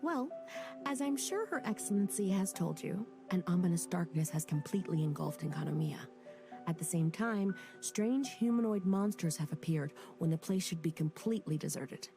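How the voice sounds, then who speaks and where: Wry, low-voiced young officer. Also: female voice, lively intonation. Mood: briefing. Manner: brisk, respectful, wry. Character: loyal, joking young female military officer. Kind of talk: game cutscene voice acting. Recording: game audio with loud music.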